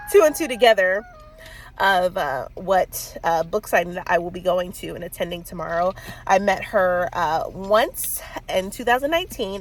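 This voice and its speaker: A woman's voice: mid-range voice, a little hiss or hum. A woman in her thirties with a stuffy cold, chatting casually on a book vlog, rambling with lots of fillers and little laughs. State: congested with a cold. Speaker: book vlogger. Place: in a car.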